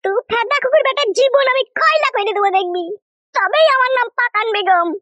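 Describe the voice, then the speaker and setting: A woman's voice: extremely high pitch, a little fast, pushed and bright, no room sound, muffled recording. A woman voicing an indignant, foul-mouthed wife in a comic cartoon. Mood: threatening, angry. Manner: scolding, threatening, heavy rustic swearing.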